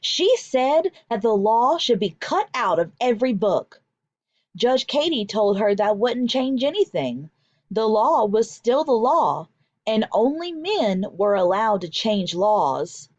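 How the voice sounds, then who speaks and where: A woman teacher reading aloud to children in an expressive, deliberate, emphatic way. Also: fairly high pitch, no room sound, muffled recording. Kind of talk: expressive children's read-aloud with vocabulary explanations.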